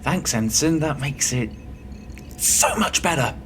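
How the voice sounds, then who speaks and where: A man's voice: a little high, lively intonation, slow pace, fairly bright tone, a little hiss or hum. A man playing a flippant, sarcastic starship crewman in a science fiction audio drama. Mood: wry. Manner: wry, sarcastic.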